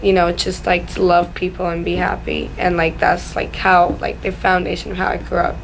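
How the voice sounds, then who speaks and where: A woman's voice: on the low side, little pitch movement, normal speed. A young woman from Ecuador talking casually about herself in an informal interview, peppering her speech with "like". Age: young adult. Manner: filler-heavy, frequent "like". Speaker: student interviewee. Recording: clearly noisy room recording.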